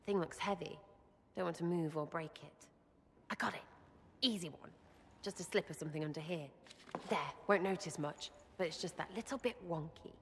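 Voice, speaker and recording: A woman's voice: fairly low pitch, moderate pace, in a large hall, a little hiss or hum, lightly acted. A woman playing a mischievous, blunt young elven rebel in a video game, with an English accent and a cheeky, slangy, irreverent way of talking.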